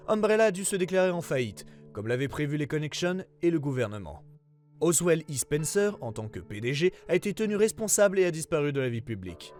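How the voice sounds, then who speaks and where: A man playing a government investigator who reads out a formal case report in a serious, measured way, as scripted narration. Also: fairly high pitch, a little mellow.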